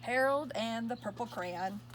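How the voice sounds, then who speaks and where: A woman reading a picture book aloud to young children, expressive and pausing to ask her listeners questions. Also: a little high, background noise. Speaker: storyteller.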